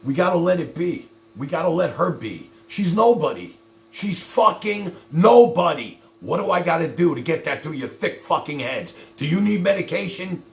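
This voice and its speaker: Male livestream host, gravelly and swinging widely in pitch; foul-mouthed, exasperated monologue aimed straight at viewers. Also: very animated, moderate pace, soft background music. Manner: profane, exasperated, confrontational; addresses viewers directly with repeated rhetorical questions.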